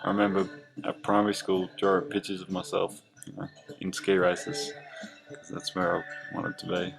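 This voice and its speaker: An Australian man in his young adult years talks in a low, gravelly voice with a fairly flat delivery. He tells his story in a relaxed, rambling way, full of little fillers and restarts. Faint music plays underneath. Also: normal speed, rough recording. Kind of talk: casual narration over drawings.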